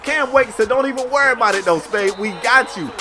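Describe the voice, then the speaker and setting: An American man giving casual, slangy play-by-play commentary on a sports video game in an animated storytelling manner. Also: extremely high pitch, brisk, over music.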